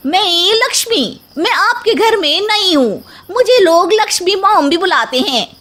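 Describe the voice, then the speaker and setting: A woman's voice: very high voice, expressive, measured pace, pushed and bright, full character voice. A woman voicing a bold, street-smart housemaid in a comic story, cocky and slangy in Mumbai street Hindi. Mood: cocky, boastful. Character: brash, bold, money-minded female housemaid, street-smart ghost chaser.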